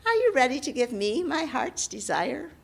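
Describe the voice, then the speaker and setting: A woman's voice: high voice, sing-song, vocal fry, pitched above the performer's own voice, heavily acted. A woman storyteller voicing a loathly old hag who teases and draws out her words. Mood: sly, teasing. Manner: coaxing, drawn-out teasing lines. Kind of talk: oral storytelling.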